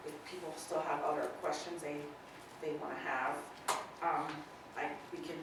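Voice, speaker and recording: A woman with a low voice talks tentatively and explains as she goes at a public meeting. The sound is distant and heavily echoing, with noise behind her. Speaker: meeting participant. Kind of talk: spontaneous discussion at a public meeting. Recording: echoey meeting room, distant mic, noisy.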